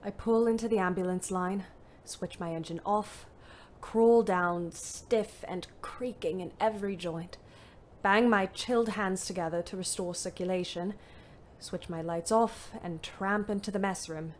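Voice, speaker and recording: An actress playing a weary, bitter young ambulance driver, narrating a Great War audio drama in a wry, dramatic way. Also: female voice, mid-range voice, unhurried, a little hiss or hum, natural voice. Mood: grim, exhausted. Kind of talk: first-person audio drama monologue.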